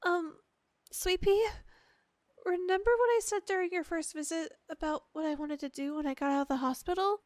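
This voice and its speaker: A woman's voice: very high voice, moderate pace, darkish tone, dry recording. A woman playing a clingy, obsessive young girlfriend in an ASMR roleplay, sweet, gushing and emotional, with an apologetic, hesitant way of talking. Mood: hesitant, shy.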